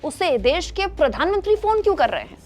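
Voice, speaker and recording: High-pitched woman presenting news. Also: sing-song, fairly quick, darkish tone. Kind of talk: scripted political news narration to viewers.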